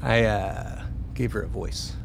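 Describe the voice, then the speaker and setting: A man's voice: on the low side, slow, creaky, noisy background. A man playing an enthusiastic, sweary hacker researcher in a radio play, explaining things casually. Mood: casual, proud. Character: excitable, laid-back hacker researcher, obsessed with mysterious transmissions.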